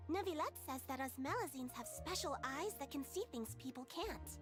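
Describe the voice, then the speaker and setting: A small earnest creature child; very high, polite, animated. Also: female voice, moderate pace. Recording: game audio with faint music.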